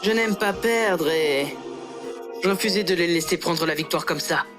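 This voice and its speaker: A woman's voice: on the low side, moderate pace, lightly acted. A woman playing a sincere, friendly teenage boy who loves basketball in an anime fan dub. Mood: resolved, sincere. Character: earnest, sporty teenage boy, basketball player. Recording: music under the voice.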